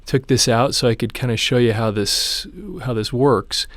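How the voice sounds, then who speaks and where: A man's voice: mid-range voice, steady tone, normal speed, a bit bright, no echo. A man presenting a hands-on repair tutorial, explaining each step in an informal, plain-spoken way. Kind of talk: casual hands-on how-to demonstration.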